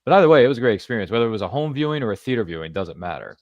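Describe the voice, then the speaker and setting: A man's voice: mid-range voice, big pitch swings, racing, dry recording, lo-fi sound. A man hosting a podcast interview, chatty and enthusiastic, heaping praise on his guest. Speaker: podcast host. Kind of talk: casual interview chat.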